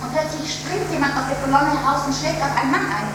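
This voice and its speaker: An actress playing a commandant's wife in a theatrical monologue, looking back with halting, dramatic rapture, hushed and fervent by turns. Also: female voice, high-pitched, far from the mic, natural voice. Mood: breathless excitement. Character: aging Nazi camp commandant's wife looking back, enraptured. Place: theatre stage, recorded live from the room. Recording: echoey, very noisy live theatre recording.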